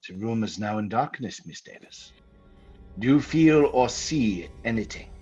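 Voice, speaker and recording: An actor playing a stern, authoritative doctor of the supernatural, grave and deliberate, in an old-time radio drama. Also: male voice, on the low side, expressive, warm tone, breathy edge, soft background music. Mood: commanding. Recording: muffled old radio recording.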